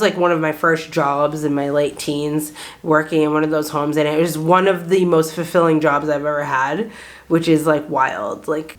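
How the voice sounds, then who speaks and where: A woman in her thirties with a general American accent and a faint Boston lilt, rambling casually to camera with lots of "like"s. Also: deep voice. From Boston area, United States. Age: 30s. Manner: chatty, rambling, many "like"s, laughs often. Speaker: vlogger.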